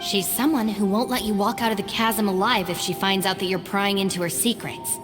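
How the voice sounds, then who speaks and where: A woman plays a sensible young female deputy in an animated game. Her mid-pitched voice is matter-of-fact and deadpan, with long reverb and music behind it. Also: fairly quick, close to an everyday voice. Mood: exasperated. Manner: matter-of-fact, mildly exasperated. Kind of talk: animated video game voice acting.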